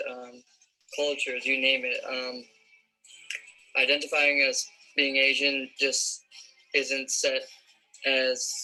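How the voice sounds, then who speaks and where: Young guy chatting to his phone, really monotone, sharp voice. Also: mid-range voice, rough recording. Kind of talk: casual personal testimonial. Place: indoors at home.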